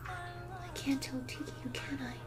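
A woman playing an anxious, conscientious teenage superheroine in an audio drama, hesitant and breathy, often dropping toward a whisper. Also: extremely high pitch, a little slow. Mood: worried. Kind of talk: fan audio drama acting. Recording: music under the voice.

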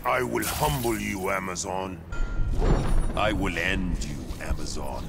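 Game villain-king: low, declamatory, menacing godlike ruler, stern and haughty, over loud music. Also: takes it slowly, vocal fry, full character voice. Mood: proud menace. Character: imperious godlike warrior king, proud, contemptuous, menacing. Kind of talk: video game fight banter.

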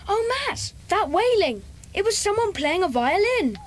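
High-voiced earnest schoolboy. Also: female voice, very animated, measured pace, slight background noise, exaggerated voice. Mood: concerned. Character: young schoolboy, earnest bossy gang leader. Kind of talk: children's audiobook dramatisation.

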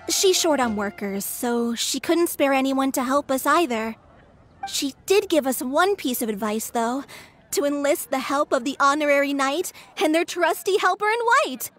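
A woman's voice: sits high, animated, moderate pace, faint music behind. A woman voicing an earnest, anxious young woman who runs a cat shelter. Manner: earnest and fretful. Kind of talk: video game voice acting.

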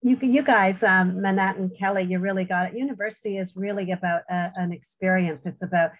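A woman's voice: medium pitch, steady tone, a little fast. A middle-aged woman from Canada with a Canadian accent, a university radiography lecturer answering on a webinar panel in an earnest, encouraging way with many ums, heard through a headset on a video call.

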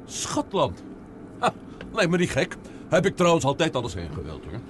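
Actor as hearty, easygoing general, lively voice, slightly high. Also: male voice, moderate pace. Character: hearty middle-aged army general. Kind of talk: 1960s radio drama acting. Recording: old radio play tape with faint hiss.

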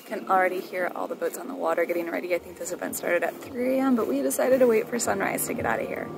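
A woman's voice: fairly low pitch, steady tone, fast, vocal fry, over music. A woman vlogger talking casually and matter-of-factly about her plans for the day. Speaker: sailing vlogger. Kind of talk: casual vlog narration.

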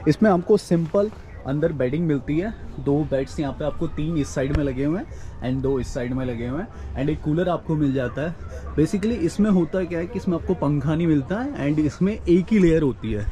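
A man's voice: on the high side, warm tone. Chatty young man vlogging outdoors. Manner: chatty, mixes English words into Hindi. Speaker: travel vlogger. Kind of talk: casual walk-and-talk vlog. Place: outdoors at a hillside campsite. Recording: faint outdoor noise.

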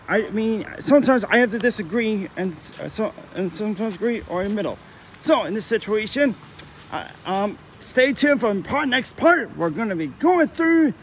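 A man's voice: high voice, slight background noise. A young man vlogging at a car wash, talking in a rambling way, repeating himself and restarting phrases, recorded on a handheld phone outdoors. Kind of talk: casual walkthrough vlog. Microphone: handheld phone camera.

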